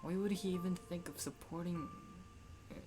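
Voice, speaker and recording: A man's voice: sits high, moderate pace. A man casually reacting aloud as he plays a video game. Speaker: gamer. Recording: game music behind the voice.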